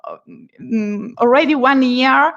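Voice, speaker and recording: Slow, hesitant Italian-accented woman. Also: high voice, dull audio. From Italy. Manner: hesitant, many fillers, giggly. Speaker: freelance translator, guest. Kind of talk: casual interview answers.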